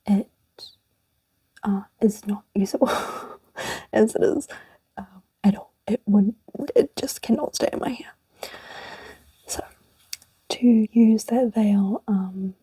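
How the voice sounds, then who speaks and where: A woman's voice: mid-range voice, warm tone, slightly rough, dry recording. A young woman whispering in a rambling, chatty way for an ASMR video, close to the mic. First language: English. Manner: rambling and chatty, with little laughs. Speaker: ASMR channel host.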